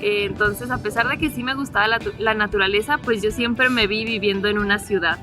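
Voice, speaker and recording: A woman's voice: medium pitch, a little fast. A woman in her twenties with a Mexican Spanish accent, chatting casually to camera as a travel vlogger, with easy laughs and a loose, filler-filled storytelling style. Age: 20s. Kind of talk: casual sit-down chat to camera. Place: seated indoors facing the camera. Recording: music bed under the voice.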